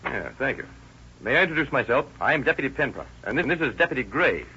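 A man's voice: mid-range voice, sing-song, quick pace, sharp tone. A man playing a businesslike, insistent sheriff's deputy with a clipped manner in a 1930s radio drama. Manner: clipped, insistent, matter-of-fact. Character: firm, businesslike male sheriff's deputy questioning witnesses. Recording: noisy muffled old-time radio recording.

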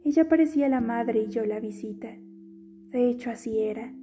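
Mellow-voiced woman reading softly over guitar. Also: on the high side, moderate pace. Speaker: reader of a literary letter. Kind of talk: scripted audiobook reading.

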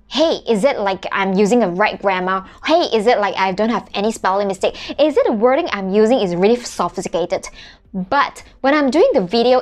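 A woman in her thirties, a native Mandarin speaker from Malaysia, chatting casually in a marketing vlog with many restarts and tag questions. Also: on the high side. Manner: chatty, frequent "right?" and restarts. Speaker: vlogger.

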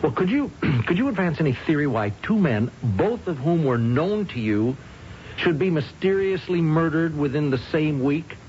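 An actor playing a persistent police detective with halting, deliberate questioning in a radio drama. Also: male voice, fairly high pitch, animated, a little mellow, natural voice. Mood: probing. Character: persistent, hesitant-sounding police detective quietly probing his suspect. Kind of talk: 1970s radio drama acting. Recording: muffled, hissy old radio broadcast.

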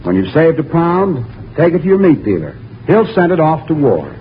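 A man's voice: fairly high pitch, big pitch swings, normal speed. A man announcing in polished 1940s radio style, reading a scripted appeal with earnest emphasis. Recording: muffled 1940s radio, faint noise.

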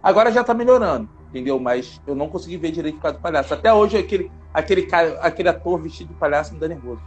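A man with a notably high voice talks in a loose podcast chat. He is animated and emphatic and circles back to repeat his points. Soft music plays faintly behind him. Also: racing, dull audio. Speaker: podcast co-host. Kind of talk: casual chat.

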